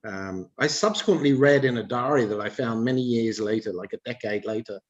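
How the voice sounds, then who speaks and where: A man with a mid-range voice answers as a panel guest. He speaks in a measured way and pauses often with fillers. The sound comes over a video call. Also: medium pitch, highs cut off.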